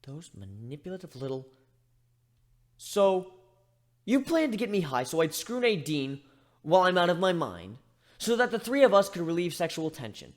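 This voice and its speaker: A man acts out an insecure male griffin from a dramatic fantasy story reading. The voice is high and swings widely. He is sarcastic, easily exasperated and openly emotional. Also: big pitch swings, normal speed, long pauses. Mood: sarcastic exasperation.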